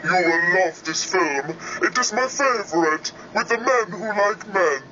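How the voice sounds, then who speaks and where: A woman faking a deep-voiced Spanish clerk. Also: lively intonation, measured pace. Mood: smug. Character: fake deep-voiced Spanish male hotel clerk, smug. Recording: noisy dull line.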